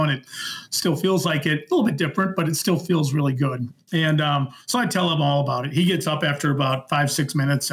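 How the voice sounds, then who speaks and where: Higher-voiced middle-aged Midwestern American man telling stories. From Minnesota, United States. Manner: rambling, good-humoured, acts out reported dialogue. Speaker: podcast guest, former concert security worker. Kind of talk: casual anecdotal storytelling.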